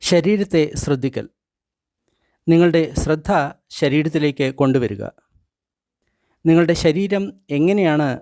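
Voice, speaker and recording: Male meditation guide, fairly high pitch, scripted instructions in short paused phrases, very dry sound. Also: warm tone, no room sound. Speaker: meditation guide reading a translated book.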